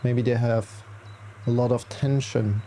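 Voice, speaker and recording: A man leading a guided relaxation as a meditation teacher, speaking soothingly and reassuringly, with long unhurried pauses. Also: medium pitch, fairly even intonation, dark tone, slight background noise. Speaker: yoga nidra meditation guide.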